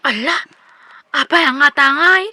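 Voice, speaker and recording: A woman's voice: sits high, a little slow, pushed and bright, no room sound, full character voice. A Filipino woman playing a lovestruck, persistent young single villager in a comedic radio drama, pleading and coy. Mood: hurt, puzzled.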